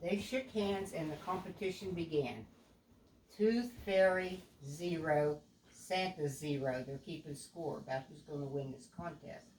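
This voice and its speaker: An older woman reads a children's story aloud like a grandmother, deliberately, pausing to explain things to a child. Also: sits low, a little mellow. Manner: deliberate, explaining, with stumbles over words. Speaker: grandmother reading a picture book to a child. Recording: echoey room with background noise.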